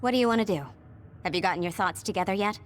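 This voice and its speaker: A woman playing a shrewd, level-headed soldier in an anime-style game dub, with a measured, analytical delivery. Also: medium pitch, a little hiss or hum, lightly acted. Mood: detached. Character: shrewd, calculating, level-headed young soldier, coolly pragmatic. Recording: loud music behind.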